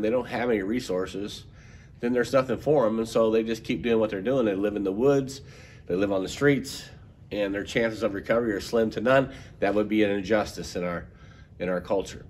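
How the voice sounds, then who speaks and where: A man preaching a podcast sermon, his voice clogged and congested from a head cold. He talks in a loose, conversational way, full of fillers and restarts, at a fairly low pitch. A faint low rumble sits under the recording. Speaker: pastor.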